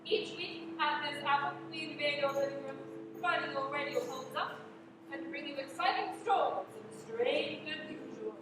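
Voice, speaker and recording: A woman playing a droll, macabre host of an old-time radio mystery show, theatrical and deadpan in a mock-serious way. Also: high-pitched, moderate pace, background noise, close to an everyday voice. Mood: droll showmanship. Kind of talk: 1940s radio acting, scripted host introduction. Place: high school stage performance, actors masked. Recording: echoey hall, far from mic, livestream.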